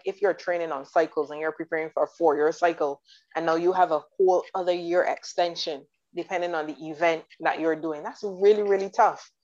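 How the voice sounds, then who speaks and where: A woman from Barbados chatting about sports in detail, enthusiastic and quick to laugh. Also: sits low, darkish tone. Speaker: guest commentator. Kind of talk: casual sports chat. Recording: dull lo-fi audio.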